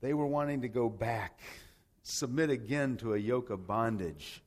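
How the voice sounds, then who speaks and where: A man's voice: medium pitch, normal speed. A man from Rome, Italy, preaching a sermon in an emphatic, conversational way, with rhetorical questions and repeated phrases. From grew up in Rome, Italy, to American parents. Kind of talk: sermon with scripture and personal anecdotes.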